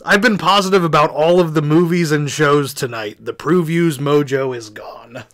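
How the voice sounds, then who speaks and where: A man's voice: fairly high pitch, normal speed, a bit bright. Rambling American man telling stories. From southeastern Indiana, United States. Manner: rambling, backtracking, loses his thread. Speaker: podcast host. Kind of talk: casual storytelling on a horror podcast.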